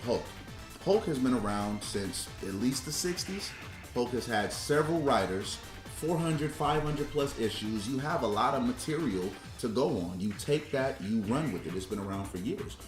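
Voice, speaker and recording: A man's voice: medium pitch, expressive, normal speed. A man co-hosting a casual podcast, arguing his point while thinking aloud, with rhetorical questions. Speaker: podcast co-host. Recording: roomy echo, music behind. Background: music.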